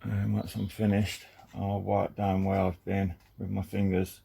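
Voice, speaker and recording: A man with a croaky voice casually narrating a car repair job, chatty and self-deprecating, calling his viewers lovely people. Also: deep voice, completely flat delivery, measured pace, mellow. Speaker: DIY car-repair vlogger.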